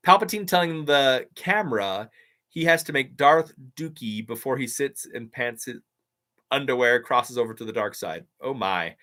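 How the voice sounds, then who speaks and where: A man's voice: mid-range voice, expressive, pushed and bright. A man who is a voice actor, chatting upbeat on a live stream and reading out viewers' comments. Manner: upbeat, chatty, quick to laugh. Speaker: YouTuber and voice actor.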